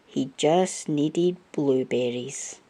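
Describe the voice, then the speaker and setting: A woman reading a children's storybook aloud as an expressive narrator. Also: very deep voice, extremely slow.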